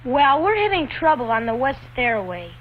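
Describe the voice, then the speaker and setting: A woman's voice: sits high, big pitch swings, moderate pace. A woman playing an earnest, polite young school hall guard in a 1950s instructional film. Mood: earnest, troubled. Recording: dull, noisy old 1950s film soundtrack.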